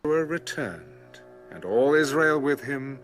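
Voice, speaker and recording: A man reading scripture as a scripted audio narration, in a measured, solemn storytelling manner. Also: mid-range voice, expressive, faint music behind.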